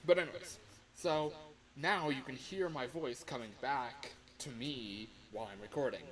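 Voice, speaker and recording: A man's voice: medium pitch, normal speed, fairly bright tone. A man hosting a casual livestream tutorial, talking in a chatty, rambling, informal way into a microphone. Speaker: livestream host. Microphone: microphone on a home streaming setup.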